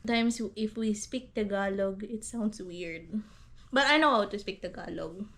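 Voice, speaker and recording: A woman from the Philippines with a Filipino accent, chatting casually on a couple's vlog, halting with fillers and restarts and laughing often. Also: mid-range voice, a bit bright. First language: Hiligaynon. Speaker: vlog co-host.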